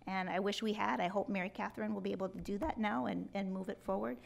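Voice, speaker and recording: A woman's voice: mid-range voice, fast, vocal fry. A middle-aged woman answering interview questions at a hearing table, earnest and gesturing, often restarting her sentences. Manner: earnest, expressive with hand gestures, many restarts. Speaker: superintendent candidate. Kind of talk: public job interview answers. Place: hearing room with rows of empty seats. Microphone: table microphone.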